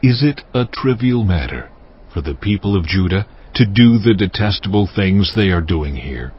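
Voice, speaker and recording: A man voicing God in a dramatized scripture reading, slow and solemn with stern pronouncements. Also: low voice, animated, breathy edge. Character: stern, commanding voice of God, ancient male deity. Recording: dull lo-fi audio with music bed. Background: music.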